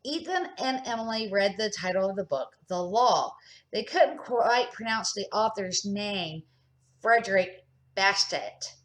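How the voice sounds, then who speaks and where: A woman's voice: mid-range voice, expressive, a little slow, fairly bright tone. An older woman, a grandmother, reading a children's storybook aloud as an amateur narrator, with expression and the odd small stumble.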